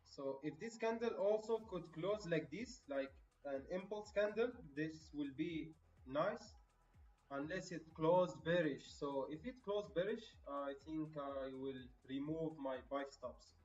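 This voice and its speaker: A man from Egypt talks through a live stream in a casual, chatty way, calling viewers bro and my friend. His voice sits a bit high and reaches the mic from a distance in a roomy space. Faint music plays underneath a slightly dull recording. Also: animated, measured pace, darkish tone.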